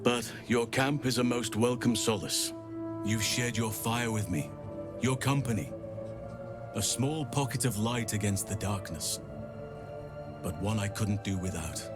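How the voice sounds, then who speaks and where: A male actor playing a solemn, centuries-old companion in a fantasy video game, speaking in a measured, earnest, formal way. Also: lowish voice, over loud music, natural voice. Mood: grateful, solemn.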